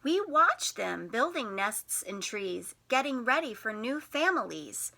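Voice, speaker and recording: A woman teacher reading a picture book to young children in an expressive, sing-song way, putting questions to them. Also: fairly high pitch, measured pace, gravelly. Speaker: teacher and storytime host.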